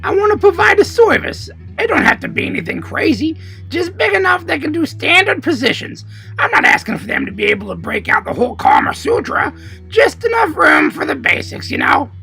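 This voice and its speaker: A man voicing a depraved, scheming rat, a brash and raspy imaginary friend in a comedy puppet show. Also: very high voice, wildly swooping pitch, fairly quick, soft background music, exaggerated voice. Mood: sleazy, wheedling. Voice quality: raspy, gravelly. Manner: brash, sleazy, shouty.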